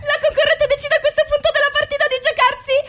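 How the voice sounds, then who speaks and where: A woman playing an over-excited, theatrical TV quiz show host in a satirical radio play. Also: extremely high pitch, fast, shouting, very noisy. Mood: shrill excitement. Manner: over-excited, theatrical, mock-enthusiastic exclamations. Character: gushing, shrieking female TV quiz show host.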